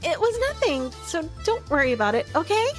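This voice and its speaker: A woman's voice: sits high, sing-song, a little fast, faint music behind, pitched above the performer's own voice. A woman voicing a sweet, flustered teenage anime girl in a bubbly, sing-song, cutesy way for a visual novel game. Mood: bashful.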